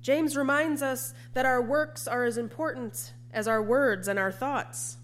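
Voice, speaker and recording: A woman preacher delivering a sermon in a measured, emphatic way, with deliberate repetition. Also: sits high.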